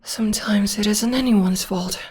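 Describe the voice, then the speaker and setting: Woman playing a gentle whispering witch girlfriend. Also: medium pitch, unhurried, slightly rough. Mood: soothing. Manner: soft, often whispered, soothing. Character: gentle young witch girlfriend comforting her demon partner. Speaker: voice actress. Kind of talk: ASMR audio roleplay.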